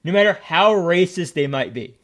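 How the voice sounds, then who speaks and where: Brash young man, lively high voice. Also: normal speed. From United States. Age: young adult. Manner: brash, joking, crude and provocative. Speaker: streamer. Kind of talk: casual stream chat.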